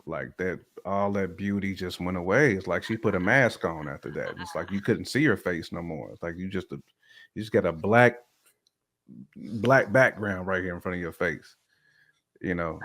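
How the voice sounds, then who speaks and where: Man, low voice, casual conversational delivery, opinionated, repeats phrases for emphasis. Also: fairly bright tone. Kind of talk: casual relationship talk.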